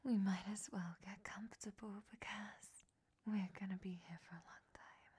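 A woman's voice: medium pitch, fairly even intonation, normal speed, a little mellow, slightly rough. A woman whispering a roleplay monologue to a listener, scolding him and then turning tender and confessional.

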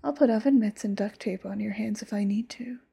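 A woman's voice: medium pitch, a little fast. A woman voicing an obsessive, possessive immortal lover in a whispered audio roleplay, sweetly menacing. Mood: tender whisper. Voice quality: soft breathy whisper. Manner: intimate, sweetly menacing, sudden flares.

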